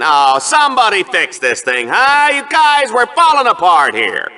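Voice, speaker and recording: Bright, bombastic arena commentator. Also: male voice, high-pitched, full character voice. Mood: flustered. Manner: theatrical, hammy, exaggerated announcer delivery. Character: smug, vain, bloodthirsty male TV arena commentator. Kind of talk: bombastic game-show sports commentary.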